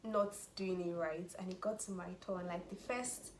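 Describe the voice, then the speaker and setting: A woman's voice: lowish voice, steady tone, moderate pace, slight background noise. A young woman with a Nigerian accent, chatting casually and animatedly about student life, laughing often. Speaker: vlogger, international student. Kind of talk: casual chat. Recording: echoey room with faint ambience.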